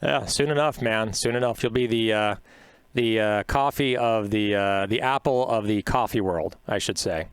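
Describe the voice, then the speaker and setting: A man hosts a podcast from a studio, chatty and upbeat, with plenty of uhs. His voice sits low and has a smooth, resonant tone. The recording is close and dry. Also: normal speed, no room sound. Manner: chatty, upbeat, filler-heavy. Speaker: podcast host. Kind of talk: podcast interview.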